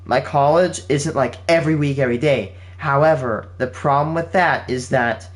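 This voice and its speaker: A man's voice: medium pitch, normal speed. Rambling eighteen-year-old man. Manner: rambling, with frequent restarts and fillers. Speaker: channel host. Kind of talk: casual spoken update to viewers. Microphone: home setup with game console running nearby.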